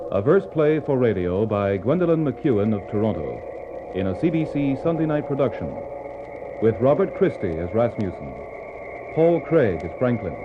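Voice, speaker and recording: A man's voice: mid-range voice, lo-fi sound. Noisy old radio recording, formal male announcer.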